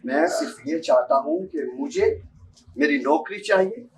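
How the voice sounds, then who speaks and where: A man's voice: sits high, huge pitch swings, measured pace, gravelly, darkish tone, slight background noise, exaggerated voice. A young man student acting a bitter, insistent blackmailer who threatens, in an amateur stage drama. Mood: threatening, insistent. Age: young adult. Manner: insistent, threatening, repeats himself. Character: menacing, bitter blackmailer demanding his job back. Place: university seminar hall stage. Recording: distant microphone on stage.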